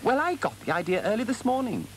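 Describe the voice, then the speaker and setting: A man's voice: extremely high pitch, sing-song, fast talker, a little hiss or hum, pitched above the performer's own voice. A man voicing an eager, cheerful little male mole in an animal storybook, enthusiastic and pleased with himself.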